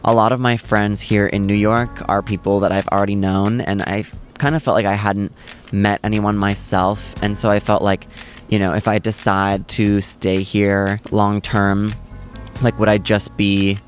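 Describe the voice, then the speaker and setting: A young man vlogging in a soft, chatty, reflective way, talking outdoors in a city, says "like" a lot. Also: lowish voice, little pitch movement, measured pace, soft background music. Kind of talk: casual chat and vlog talk to camera.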